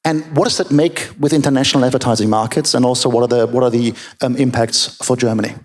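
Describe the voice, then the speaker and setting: A man moderating a conference panel, introducing guests and posing questions in a measured way with occasional fillers. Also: medium pitch, fairly even intonation.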